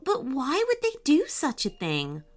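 A woman's voice: high-pitched, wildly swooping pitch, measured pace, soft background music, voice pushed up, full character voice. A woman voicing a kind, spirited, determined young girl in a children's story. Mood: shocked, indignant.

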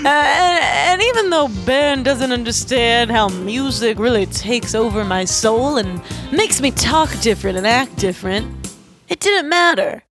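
A woman's voice: a little high, animated, a little slow, music in the background. A young woman co-hosting a comedy podcast, giving goofy, earnest closing thoughts with frequent giggles. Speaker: podcast co-host.

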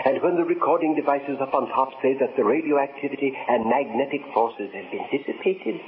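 A man's voice: mid-range voice, narrow, tinny audio, lightly acted. A man acting the old crackpot professor in a 1950s radio thriller, preaching doom with grand fervor out of a thin, hissing set. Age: older. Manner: grandiose, oratorical, fervent. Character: earnest elderly doomsday crank, former professor.

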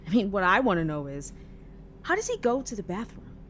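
An actress playing a quirky, paranoid, chatty young bus passenger in an audio drama, talking earnestly and matter-of-factly about odd things. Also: female voice, big pitch swings, a little fast, fairly bright tone. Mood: earnest, conspiratorial. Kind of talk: audio drama acting, conversational. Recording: faint background noise with rumble.

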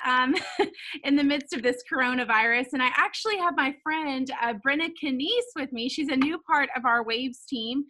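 A woman, hosting a ministry podcast in a casual chat, laughing often and filling pauses with "um" and "like.". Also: high voice, bright, forceful tone. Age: 30s. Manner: bubbly, laughs often, fillers like "um" and "like". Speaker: podcast host, founder of a girls' ministry. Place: home, talking over a video call.

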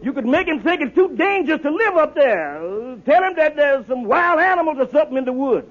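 High-voiced, blustering comic schemer. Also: male voice, sing-song. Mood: conspiratorial, boastful. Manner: folksy, ungrammatical, stammering repetitions, drawn-out emphasis. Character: excitable, blustering male schemer and soapbox orator friend. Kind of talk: 1950s radio comedy acting. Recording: muffled old radio broadcast.

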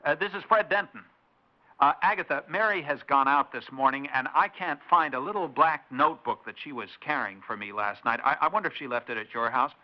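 An actor playing a suspicious, exasperated suburban husband in a 1960s radio drama, with an indignant, sardonic way of talking. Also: male voice, medium pitch, brisk, lightly acted. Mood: agitated, controlled. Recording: dull 1960s radio recording.

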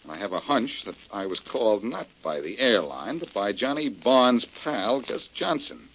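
An actor playing a confident, wry detective with an assertive manner, in 1940s radio drama style. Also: male voice, on the low side, expressive, normal speed, a bit bright, slight background noise. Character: confident, wry male amateur detective hero. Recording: dull old radio recording.

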